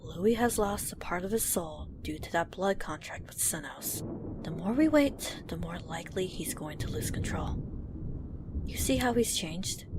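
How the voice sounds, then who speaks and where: Lowish, firm female team leader acted by a woman. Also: animated, a little slow, noisy background. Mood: serious, cautioning. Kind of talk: dramatic audio drama acting. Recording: music bed behind the voice.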